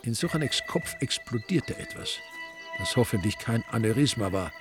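A man narrating a crime story in a dry, wry, deadpan way. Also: medium pitch, normal speed, over music.

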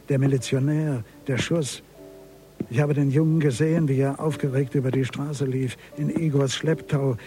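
Actor as low-voiced, embittered father. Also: mellow, natural voice. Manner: grave, deliberate, brooding. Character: embittered middle-aged father, trapped and fatalistic avenger. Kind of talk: radio crime drama, dialogue and monologue. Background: music.

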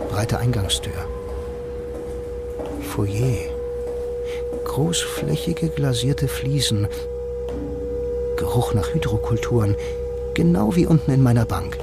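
An actor playing a blind bank clerk who narrates his own story in a dry, laconic, musing way for a radio drama. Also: medium pitch. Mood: observant, dry. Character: blind male bank clerk, wry film noir loner.

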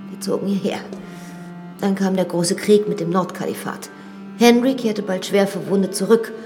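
An actress playing a frank, self-assured young widowed lady in a radio drama, telling things in a measured, confiding way. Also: medium pitch, close to an everyday voice. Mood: wistful, confiding. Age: young adult. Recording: studio drama with reverb and music.

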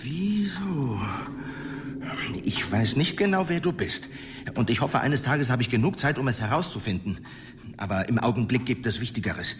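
A man playing a fussy, excitable, self-important wizard in a radio drama. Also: mid-range voice, normal speed, a little hiss or hum, close to an everyday voice. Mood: alarmed, urgent. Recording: long hall reverb, music behind.

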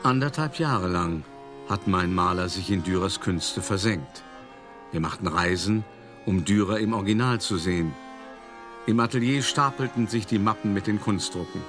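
A cultured, self-satisfied male art forger played by an actor recounts his scheme in a lowish voice. He speaks as a measured, reflective first-person narrator in a radio drama, articulate throughout, with faint music behind him. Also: natural voice. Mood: wry, recounting.

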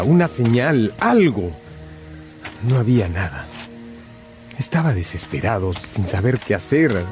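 A man narrating a radio drama in a scripted, dramatic storytelling style. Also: lowish voice. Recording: prominent music under the voice.

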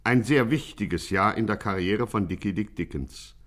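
A man's voice: lowish voice, moderate pace, a bit bright. A man playing a pompous, mock-solemn narrator of a satirical 1950s radio gangster story. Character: grandiose, mock-serious male chronicler of a gangster.

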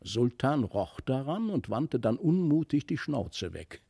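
Märchenerzähler, männlich, sehr trockene Aufnahme. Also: male voice, medium pitch, sing-song, unhurried, vocal fry, dark tone, husky, dry recording, clean studio sound. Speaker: audiobook narrator. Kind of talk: expressive literary fairy-tale narration.

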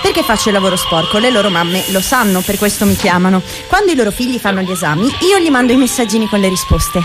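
A woman's voice: mid-range voice, animated, fast talker, close to an everyday voice. A young woman acting a candid, disillusioned city dweller in a confessional theatre monologue. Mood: wry, confessional. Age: young adult. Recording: loud music under the voice.

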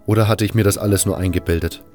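A man's voice: lowish voice, fairly even intonation, rapid-fire, darkish tone. A man narrating a scripted first-person adventure story for a fan audio drama. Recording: music under the voice. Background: music.

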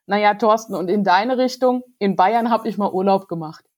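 A woman lawyer guesting on a podcast, giving measured, formal explanations with some hesitations. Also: medium pitch, a little mellow. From Germany, grew up in Hesse. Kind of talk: explaining in an interview. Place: remote call.

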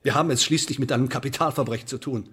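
An actor playing a menacing, sardonic Elizabethan English sheriff in a German radio drama, officious and mock-polite, with deliberate emphasis. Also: male voice, medium pitch, fairly bright tone, breathy edge. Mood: sardonic menace.

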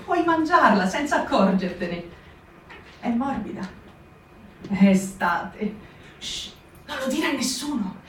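A woman performing a poetic reading in a soft, intimate, hushed way. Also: fairly high pitch, expressive, takes it slowly, long pauses, slight background noise. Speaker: actor in a staged reading. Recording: roomy radio studio, from a distance, with guitar music.

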